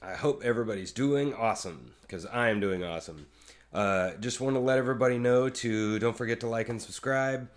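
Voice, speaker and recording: A man with a low voice giving rambling, casual commentary on music, with many fillers and restarts. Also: brisk. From Kansas City area. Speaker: YouTube reaction host, musician.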